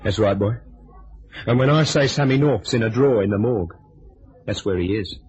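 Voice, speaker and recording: A man's voice: lowish voice, normal speed, a little mellow, breathy edge. An actor playing a menacing, sardonic gangster with clipped tough-guy talk in an old-time radio drama. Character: menacing, sardonic gangster, loyal to his friends. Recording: dull, noisy vintage radio recording.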